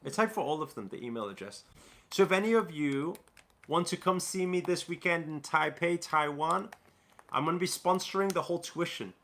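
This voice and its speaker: A man's voice: a little high, lively intonation, fairly quick, fairly bright tone. A man from Newcastle hosting a casual livestream Q&A, reading viewer comments aloud and chatting loosely with plenty of fillers and restarts. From Newcastle, England. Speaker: livestream host.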